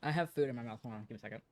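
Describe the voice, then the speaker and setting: A woman's voice: rumbling low, darkish tone, dry recording. A woman streamer casually chatting through a video game playthrough and reading dialogue aloud, with offhand, playful asides.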